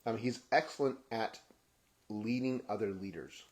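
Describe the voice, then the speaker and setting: A man raised in Kenya, speaking in a halting, reflective personal monologue that often restarts phrases and trails off softly at the ends. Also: lowish voice. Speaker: podcast host.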